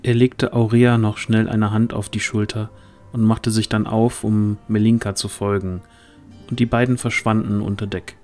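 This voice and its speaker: A man's voice: lowish voice, little pitch movement, normal speed, darkish tone, soft background music. A man reading scripted narration for a fantasy audio drama.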